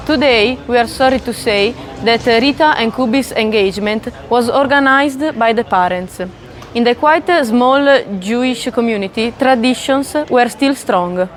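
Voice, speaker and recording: A woman's voice: a little high, unhurried. Italian-accented woman telling a story. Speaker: narrator. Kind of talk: scripted storytelling narration.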